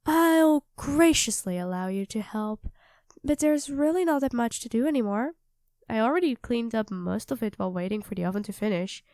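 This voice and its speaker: A woman's voice: on the high side, expressive, normal speed, darkish tone, no echo. A woman playing an affectionate, playfully teasing young girlfriend in an ASMR audio roleplay, talking expressively and dotingly to her partner. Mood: affectionate, chatty.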